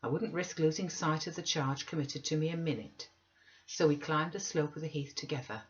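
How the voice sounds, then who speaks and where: A woman's voice: low-pitched, moderate pace. Woman reading a classic novel, slightly dull sound. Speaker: audiobook reader. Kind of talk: scripted literary narration.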